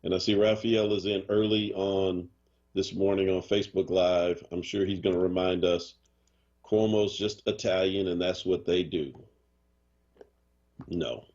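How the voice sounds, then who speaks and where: A man's voice: low-pitched, a little slow, dull audio. A man hosting a morning radio show in casual chat, joking and teasing, with a Boston accent. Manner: animated, joking, does mock voices. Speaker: radio host.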